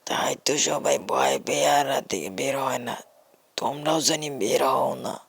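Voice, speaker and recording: A performer voicing an older village uncle in a cartoon horror story, speaking in a gravelly, folksy, ominous way. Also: medium pitch, sing-song, a little slow, no echo, voice pushed down, heavily acted. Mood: grave warning.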